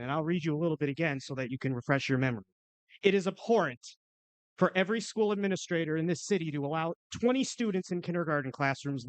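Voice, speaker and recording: High-pitched, clear-voiced man making combative public remarks. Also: no room sound, low-quality recording. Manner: combative, emphatic, rhetorical. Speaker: public commenter at a school board meeting. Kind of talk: prepared public remarks, argumentative speech. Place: public meeting, livestreamed.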